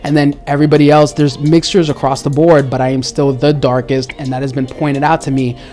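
A woman talking candidly in a casual group discussion, animated and jumping into high exclaimed asides. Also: a little fast, soft background music.

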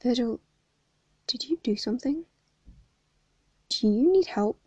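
A woman with a hoarse voice reading the lines of a composed teenage boy, calm and matter-of-fact. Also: on the high side, a little slow, no room sound, dull audio. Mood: concerned.

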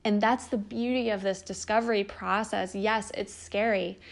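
A woman's voice: mid-range voice, fairly even intonation, normal speed, gravelly. Earnest woman giving spiritual encouragement. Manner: earnest, encouraging, casual with mild swearing. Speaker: podcast host. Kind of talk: spiritual self-help monologue.

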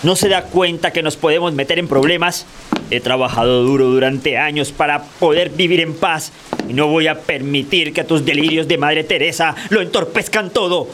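A man's voice: on the high side, moderate pace, fairly bright tone, close to an everyday voice. A man playing an irritable, wary husband, a provincial schoolteacher, in a radio play, speaking in a stern, reproachful way. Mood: angry. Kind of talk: radio theatre acting. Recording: noisy, rain and thunder behind.

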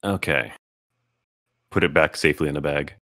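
A man's voice: rumbling low, moderate pace, a bit bright, dry recording, clean studio sound. A man playing a tabletop game in a playful, joking way. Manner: playful, jokey. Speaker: player. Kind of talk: casual tabletop play.

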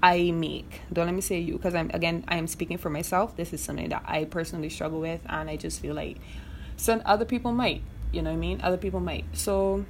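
A woman's voice: lowish voice, steady tone, quick pace, a little hiss or hum, rough recording. A young woman with a Barbadian accent hosting a podcast, talking frankly and casually, swearing freely and often asking "you know what I mean.". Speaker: podcast host. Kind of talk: casual monologue.

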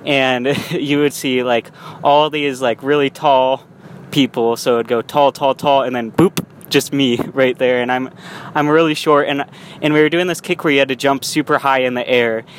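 A man's voice: mid-range voice, fairly even intonation, moderate pace. Young man, rambling car vlog, restarts and small laughs, faint road noise. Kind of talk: casual vlog monologue. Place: in a car while driving.

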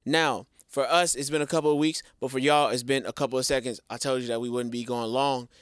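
A man co-hosting a relaxed podcast, his voice smooth and resonant at an ordinary middle pitch. He talks in a lively way and addresses viewers directly, with slang. The sound is close and dry, with no room around it. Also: fairly quick, no room sound. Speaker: podcast co-host. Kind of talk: casual podcast chat.

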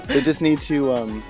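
Male podcast co-host, medium-pitched voice, informal chat, faint music bed. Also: a little mellow. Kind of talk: casual chat.

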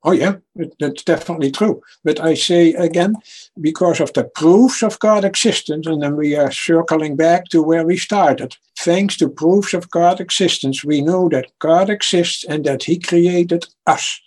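A man with a Dutch accent speaks as an interview guest in a slightly raised pitch. His voice is husky and breathy at the edges. He is earnest and often stumbles over the first words of an answer before going on. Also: measured pace, a little mellow. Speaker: guest author.

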